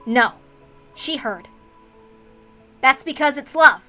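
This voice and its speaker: A woman's voice: high-pitched, slow pace, sharp tone, soft background music, exaggerated voice. A woman voicing an earnest, stubborn young man in a story reading, speaking with resolute devotion to his beloved. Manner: resolute, declarative.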